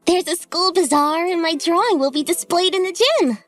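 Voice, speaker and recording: Very high, earnest little schoolgirl. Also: moderate pace, soft background music. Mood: hopeful. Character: earnest, cheerful schoolgirl daughter, sweet and loyal. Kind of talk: dubbed manga drama acting.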